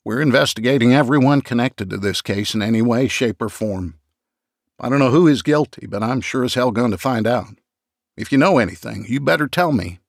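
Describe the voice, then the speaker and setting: A man's voice: medium pitch, lively intonation, brisk, vocal fry, dry recording, studio quality. A man voicing a blunt, firm police investigator asking curt questions.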